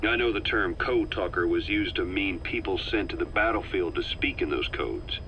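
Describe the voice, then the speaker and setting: A man playing a gruff military interviewer in a video game, casual and probing yet sympathetic, on a lo-fi tape recording. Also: low voice, normal speed, bright, forceful tone. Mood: curious, probing. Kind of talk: game dialogue, questioning debrief. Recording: dull lo-fi tape, faint noise.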